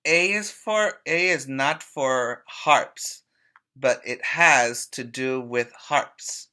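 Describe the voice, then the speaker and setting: Slow, bright-voiced male teacher reading aloud. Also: mid-range voice, clear, resonant tone. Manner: deliberate, emphatic, pausing to ask questions. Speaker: preschool teacher. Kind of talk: read-aloud lesson for young children.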